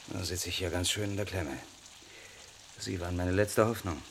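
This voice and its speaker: An actor playing a polite but irritated lawyer in a vintage radio crime play, speaking formally with dry sarcasm. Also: male voice, low-pitched, steady tone, unhurried, creaky, background noise. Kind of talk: 1950s-80s radio crime drama.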